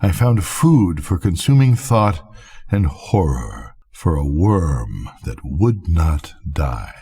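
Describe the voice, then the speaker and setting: An older man reading a gothic literary tale aloud as a storyteller, solemn and theatrical, with a husky voice. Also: sits low, warm tone. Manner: solemn, theatrical, measured delivery. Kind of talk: dramatic literary audiobook narration.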